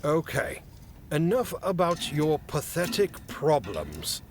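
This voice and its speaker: An actor playing a haughty, impatient fae official in a fantasy comedy audio drama, curt and formal. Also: male voice, on the high side, huge pitch swings, takes it slowly, over music, full character voice. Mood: exasperated. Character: exasperated haughty male fae official guarding a captive.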